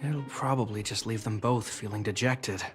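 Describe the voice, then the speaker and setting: Actor as earnest, formal young officer over music. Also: male voice, on the low side, moderate pace, close to an everyday voice. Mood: worried, reflective. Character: dutiful, worried younger brother, formal military officer. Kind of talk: video game voice acting.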